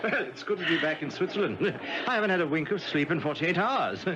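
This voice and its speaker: Man playing a flustered, hesitant male secretary, lively pitch. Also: fairly quick, noisy background, lightly acted. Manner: hesitant, stammering. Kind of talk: 1970s radio drama acting. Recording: dull vintage radio broadcast recording, music behind.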